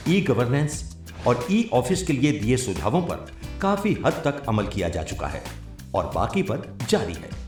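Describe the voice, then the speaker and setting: A male narrator delivers formal documentary script with an animated, varied melody. His voice sits in an echoey space with music underneath. The delivery is that of an official training film. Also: medium pitch. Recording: roomy echo, music behind. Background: background music.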